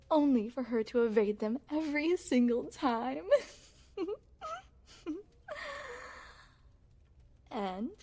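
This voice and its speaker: Woman acting a slow-spoken, high-voiced young princess. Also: dark tone. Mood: fond, amused. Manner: formal, poised, genteel storytelling with coy amusement. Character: young crown princess, refined, playful, secretly possessive. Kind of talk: ASMR roleplay, scripted, addressed to the listener.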